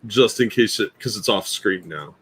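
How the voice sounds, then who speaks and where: Blunt low-voiced man co-hosting a livestream. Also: animated, moderate pace, a bit bright, husky. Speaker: podcast co-host. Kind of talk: casual livestream chat.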